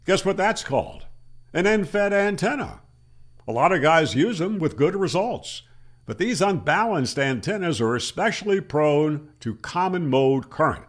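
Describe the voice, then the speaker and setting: Man in his sixties or older, animated intonation, slightly high pitch, emphatic tutorial host, close desk mic. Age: older, over 60. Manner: emphatic, with rhetorical questions and deliberate repeats. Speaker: amateur radio channel host. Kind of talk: explanatory tutorial to camera. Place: small plain room indoors. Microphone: desk microphone on boom arm, close.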